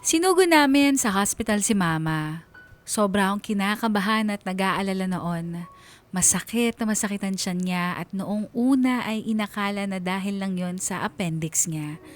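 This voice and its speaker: A woman narrates a personal story for Filipino radio. Her voice sits at an ordinary middle pitch, in a scripted storytelling style. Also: lively intonation, moderate pace, faint music behind.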